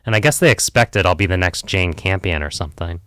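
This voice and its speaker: A man's voice: low-pitched, brisk, fairly bright tone, dry recording, studio quality. A man playing a candid, self-doubting filmmaker in therapy in an audio play, hesitant, rambling and wry. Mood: self-conscious, reflective. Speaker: therapy client. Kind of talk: conversational audio play acting.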